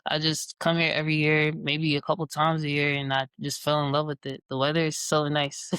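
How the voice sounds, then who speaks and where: Relaxed young male guest in his twenties, low-key and smooth-toned. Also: normal speed, dry recording. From Jamaica and New York. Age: 20s. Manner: relaxed, easygoing, with casual fillers. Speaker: guest scientist. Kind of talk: casual interview answers.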